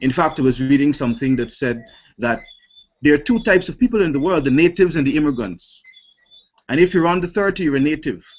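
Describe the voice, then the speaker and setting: A man in his late twenties with a Guyanese accent speaks formally and deliberately as a panelist in an online discussion, stressing points rhetorically. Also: on the high side, animated. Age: late 20s. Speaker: youth organisation panelist. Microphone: online video call. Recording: dull lo-fi web call, clear noise.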